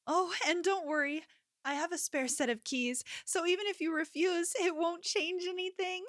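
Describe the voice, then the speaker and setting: A woman's voice: very high-pitched, normal speed, a bit bright, dry recording, clean studio sound. A woman playing an obsessive, possessive young yandere in an ASMR roleplay, speaking intimately and coaxingly, like a lover with menace underneath. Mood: sweetly menacing.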